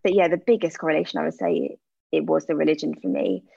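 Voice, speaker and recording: A woman's voice: sits low, fairly even intonation, fast, gravelly, dark tone, slightly rough, no room sound. A woman, chatting candidly in an interview with frequent fillers. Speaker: interview guest, aesthetic practitioner. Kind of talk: casual interview answers. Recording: dull lo-fi sound.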